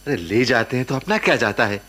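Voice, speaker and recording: A middle-aged family man with a husky voice and swinging intonation in a radio drama. He is an easygoing husband, hearty and conversational. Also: mid-range voice, lively intonation, brisk, fairly bright tone. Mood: explaining, mildly impatient. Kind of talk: Hindi radio play acting.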